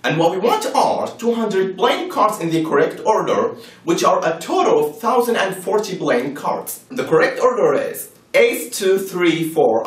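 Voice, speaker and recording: Casting: man to play a composed believer in a dramatized debate; firm, explanatory, animated pitch, a little off-mic. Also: far from the mic. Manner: didactic, question-and-answer reasoning. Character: composed, insistent religious believer patiently arguing for design. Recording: roomy echo, off-mic.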